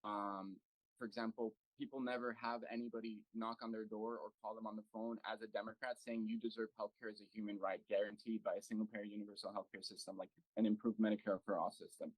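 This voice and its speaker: A man's voice: on the low side, little pitch movement, quick pace, no echo, low-quality recording. A man from the United States speaking at an online political meeting as a local party chapter president, earnest and full of fillers and restarts. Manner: earnest, thinking aloud with many fillers and restarts. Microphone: video call.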